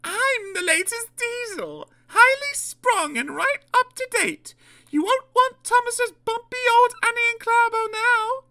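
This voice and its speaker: Very high, haughty lady diesel engine. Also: expressive, unhurried, pushed and bright, heavily acted. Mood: preening. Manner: theatrical, indignant, self-important. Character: vain, fussy, haughty female diesel rail car.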